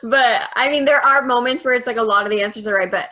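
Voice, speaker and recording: A woman's voice: fairly high pitch, fast, bright, forceful tone. A young woman guest in a casual interview, speaking thoughtfully and saying "like" often. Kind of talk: casual reflective chat.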